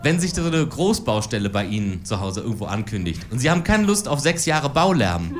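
A woman's voice: extremely low pitch, sing-song, brisk. A woman in her forties performing stand-up political cabaret on stage, with a dry, ironic delivery. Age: 40s. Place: stage before a live audience.

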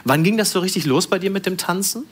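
A woman interviewer with a voice of middle pitch. She speaks conversationally and attentively, posing questions and following up on what she hears. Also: fast talker, slightly rough. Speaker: interviewer, host.

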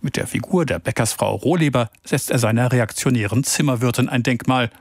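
A male reporter reading a scripted news feature in a measured, even delivery. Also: mid-range voice, no room sound, studio quality.

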